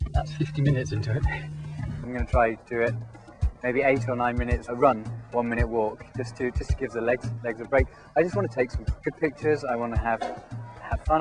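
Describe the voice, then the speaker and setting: A man's voice: medium pitch, poor audio. A man from the UK, a magazine editor running the race, talking casually outdoors about his plans. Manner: casual and relaxed.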